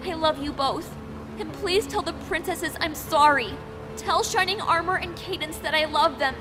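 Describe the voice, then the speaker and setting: A woman's voice: extremely high pitch, moderate pace, over music. A woman voicing a young, resolute pony princess in a dramatic fan fiction reading, earnest and pleading, ready to sacrifice herself. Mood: pleading farewell.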